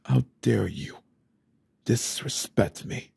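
Gravelly, husky man, stressed short-tempered father, ASMR roleplay, grumbling and yelling, close dry mic. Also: fairly low pitch, big pitch swings, takes it slowly, no echo. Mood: angry. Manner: grumbling under his breath, then yelling angrily.

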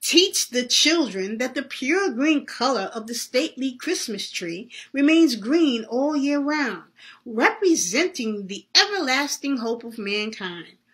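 A woman voicing a solemn, earnest Santa Claus in a read-aloud story, teaching slowly and emphatically. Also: high-pitched, expressive, a bit bright. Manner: slow, emphatic, preacherly.

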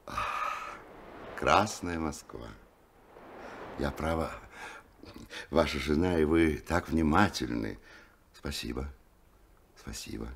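An actor playing an effusive, flattered official in a 1970s Soviet radio drama, speaking in a gushing, halting way. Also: male voice, a bass voice, gravelly, heavily acted. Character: effusive, flattered male official receiving a gift. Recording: noisy 1970s radio play recording.

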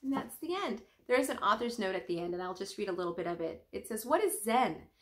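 Young woman reading aloud, very lively pitch. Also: fast talker. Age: young adult. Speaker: teacher reading aloud to students. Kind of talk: children's storybook read-aloud.

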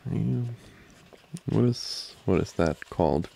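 Man, ASMR boyfriend roleplay, slow halting delivery, deep fry, flustered, close and dry. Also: lively intonation, warm tone, a little hiss or hum. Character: flustered, distracted studying boyfriend, teasing and affectionate. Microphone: close microphone, dry.

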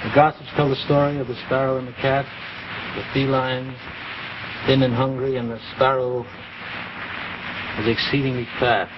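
A man's voice: mid-range voice, level tone, normal speed, long pauses, lightly acted. Actor as mild, wistful man, very noisy recording. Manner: hesitant, reflective. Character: mild, wistful man reciting a half-remembered school poem. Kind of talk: 1950s live TV drama acting. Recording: muffled, very noisy 1950s TV recording.

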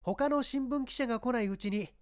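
A man's voice: very high voice, a little fast, voice pushed up. A man voicing a confident police inspector in a dramatised detective story, explaining his deductions in an emphatic, rhetorical way. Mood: confident, explanatory.

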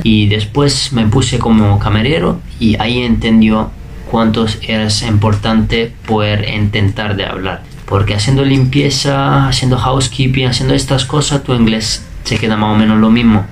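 Italian man speaking relaxed second-language Spanish. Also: lowish voice, normal speed, a little hiss or hum. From Italy. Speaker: interviewee, waiter. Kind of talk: casual interview answers. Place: bedroom indoors.

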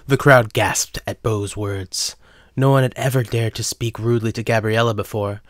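A man's voice: fairly low pitch, steady tone, moderate pace, no echo, studio quality. A man narrating a scripted dramatic story as the narrator.

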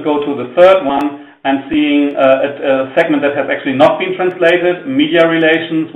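A man with a German accent, a native German speaker, talking through a software demo in a webinar, explaining as he clicks and often pausing on "uh". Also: on the high side, echoey room, highs cut off. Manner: explanatory, many fillers. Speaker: product manager.

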